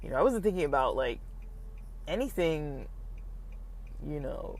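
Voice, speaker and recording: A man's voice: fairly high pitch, expressive, measured pace. A young man talking casually in a personal vlog, hesitant and rambling with many fillers, his throat slightly scratchy. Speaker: vlogger.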